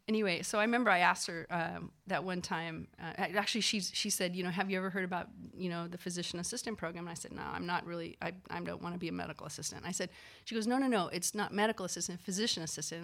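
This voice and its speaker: A woman, a physician assistant, telling a personal story in a casual, animated way, acting out the people she quotes, with lots of fillers. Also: fairly low pitch.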